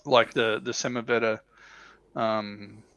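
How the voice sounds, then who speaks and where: A man giving his opinions in casual, halting chat as a panelist on a hobby livestream, often rephrasing as he goes. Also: lowish voice, little pitch movement, fairly bright tone, no echo. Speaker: livestream panelist on a tabletop wargaming show.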